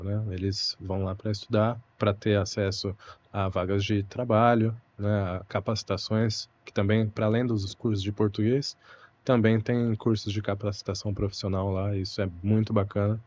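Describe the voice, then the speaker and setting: A man's voice: lowish voice, darkish tone, lo-fi sound. A young Brazilian man, a Portuguese teacher, talking thoughtfully and unhurriedly as a guest in an interview. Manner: thoughtful, unhurried, frequent "né" and "puxa" fillers. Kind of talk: relaxed conversational interview.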